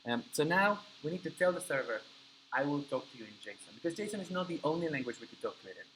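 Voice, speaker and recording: A man's voice: on the high side, expressive, fast talker, fairly bright tone, slight background noise, poor audio. A man whose first language is Spanish teaches a live coding class, explaining step by step and checking in with his students. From Argentina. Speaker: coding instructor.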